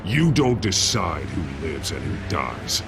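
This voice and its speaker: An actor playing a stern, brooding masked vigilante in a video game, terse and commanding. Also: male voice, sits low, very animated, unhurried. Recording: music under the voice.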